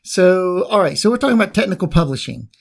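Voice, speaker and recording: A man's voice: sits high, big pitch swings, quick pace, creaky. An American man hosting a podcast, interviewing guests in a loose, conversational way. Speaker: podcast host.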